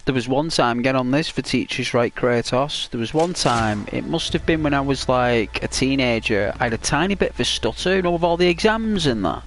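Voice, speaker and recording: A man's voice: medium pitch, lively intonation, fairly quick, hardly any pauses, a little hiss or hum. A man with a British accent chatting casually while playing a video game, rambling with lots of "like" and restarts. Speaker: gaming channel host.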